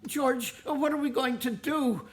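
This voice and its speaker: A man's voice: very high voice, measured pace. A man playing an absent-minded, flustered old uncle in a radio play. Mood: distraught. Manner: dithering, stammering, taunting when cheerful.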